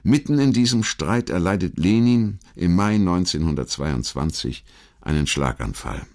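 Low-pitched man narrating history. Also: lively intonation, normal speed, darkish tone. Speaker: documentary narrator. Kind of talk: scripted historical documentary narration.

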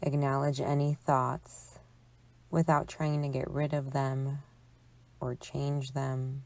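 A woman psychologist leading a guided relaxation meditation in a calm, soothing, measured delivery with long pauses. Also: rumbling low, dry recording.

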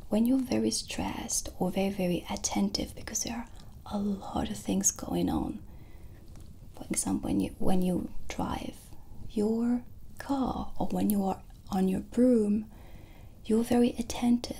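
A woman in her thirties whispering breathily and gently into a close microphone, with long pauses, as an ASMR witch shopkeeper. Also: mid-range voice, animated, gravelly. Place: small dark candlelit indoor set. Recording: background ambience noise.

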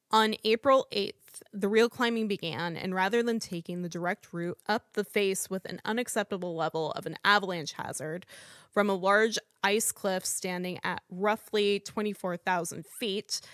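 A woman with a middle-pitched voice reads her true crime podcast script, stopping now and then to apologize for stumbling over foreign names. The sound is dry and close, with no room around it. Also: fairly bright tone, no room sound. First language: English. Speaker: podcast host.

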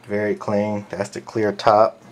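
A man giving a casual, unscripted walk-through in a halting, matter-of-fact way, like a hobbyist collector presenting his gear. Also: lowish voice, monotone. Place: indoors, small room. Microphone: handheld camcorder's built-in mic.

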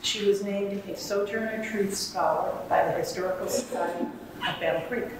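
An older woman in a museum hall introducing a fellow performer in a measured, friendly way. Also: mid-range voice, background noise. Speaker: readers theater performer. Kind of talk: formal spoken introduction. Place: large museum hall with hard walls, indoors. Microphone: far camera. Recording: very echoey hall, distant camera.

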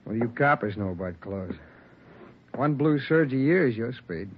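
A man's voice: mid-range voice, animated, moderate pace, breathy edge, dull audio. An actor playing a sarcastic, defensive suspect in his thirties, in 1940s radio acting style on an old broadcast. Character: sneering male suspect, thirty-one, cocky clothing salesman.

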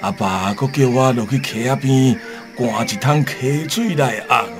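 A man's voice: medium pitch, moderate pace, over music, natural voice. An actor playing a sorrowful elderly blinded war veteran in a radio drama, folksy and sighing as he reminisces. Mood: reminiscing. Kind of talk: radio drama acting in Taiwanese Hokkien.

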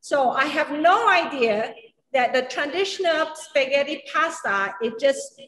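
A woman's voice: high voice, expressive, measured pace, a bit bright. A middle-aged woman from Singapore and Malaysia, a chef and culinary educator, telling a story during a cooking demo in a large kitchen. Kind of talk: cooking demonstration. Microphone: camera at a distance. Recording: echoey, reverberant kitchen.

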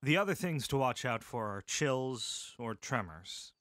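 A man voicing a calm, caring male medic soldier who speaks steadily, seriously and with compassion. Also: mid-range voice, unhurried, dry recording, clean studio sound. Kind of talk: full-cast audiobook acting.

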